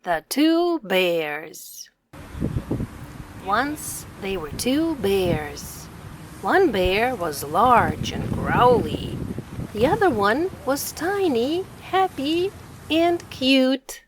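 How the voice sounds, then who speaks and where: A woman reading a children's story aloud in a slow, expressive storyteller manner. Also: a little high, noisy background.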